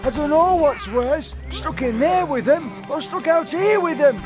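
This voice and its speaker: Chatty young guard. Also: male voice, extremely high pitch, expressive, measured pace, over music. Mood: uneasy. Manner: deferential, gossipy. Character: young male camp guard, chatty and nervous.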